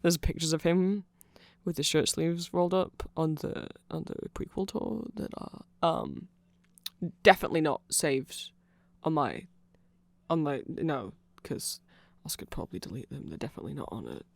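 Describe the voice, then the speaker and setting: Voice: medium pitch, lively intonation, normal speed, creaky, no echo. Rambling ASMR explainer, close and dry. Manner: nerdy, rambling, trailing asides and self-corrections. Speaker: ASMR audio creator. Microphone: close microphone in a dry space.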